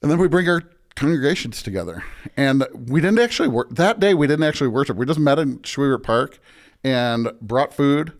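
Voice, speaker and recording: He is an American man speaking as a pastor in a relaxed, informal interview. His voice sits at an average male pitch. He reflects aloud, often rephrasing his point as he goes. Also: brisk. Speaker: pastor, podcast guest. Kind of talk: casual reflective interview talk.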